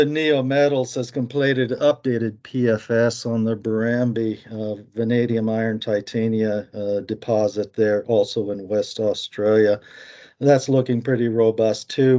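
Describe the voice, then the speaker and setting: American male market commentator; lowish voice, halting delivery, muffled recording. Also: darkish tone. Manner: halting, frequent "uh" fillers.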